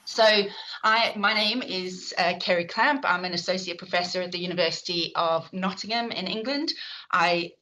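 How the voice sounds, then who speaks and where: Bright-toned South African woman chairing a talk. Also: fairly low pitch, normal speed, slight background noise, poor audio. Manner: warm, chatty, frequent fillers and restarts. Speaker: chair of a webinar session. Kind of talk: introducing speakers at an online talk.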